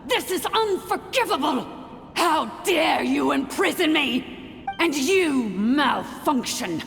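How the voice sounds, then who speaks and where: An actress playing a vengeful, contemptuous ancient machine sovereign in a video game, speaking in grand, scornful phrases. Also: female voice, sits high, animated, slow pace, creaky, a bit bright, big-room sound, faint music behind, exaggerated voice. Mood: outraged fury. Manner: imperious, scornful, archaic grand speech. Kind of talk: dramatic video game voice acting.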